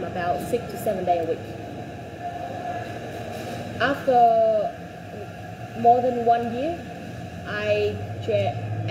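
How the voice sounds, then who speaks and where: A woman in her twenties, born in Vietnam, a job applicant telling her work history in halting speech with many fillers and restarts. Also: mid-range voice. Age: 20s. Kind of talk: interview answers. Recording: echoey room, loud background noise.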